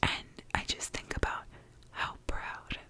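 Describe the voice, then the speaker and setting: A woman's voice: measured pace, bright, forceful tone. Whispering young woman, chatty ASMR. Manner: chatty, swears casually, says "like" often; whispers the whole time. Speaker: ASMR vlogger. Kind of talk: whispered ASMR makeup chat. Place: her apartment.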